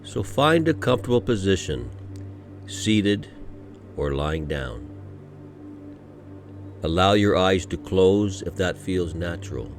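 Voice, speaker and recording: Deep, slow-speaking male meditation guide. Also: big pitch swings, faint music behind. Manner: gentle, unhurried, soothing, with frequent pauses. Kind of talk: guided meditation, scripted narration.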